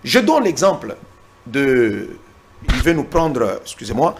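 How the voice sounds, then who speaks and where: A man's voice: medium pitch, very animated, slow pace, gravelly, slight background noise. A Congolese man arguing hard in a political interview, repeating words when pressed and citing the Bible. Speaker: interview guest. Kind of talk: heated political debate.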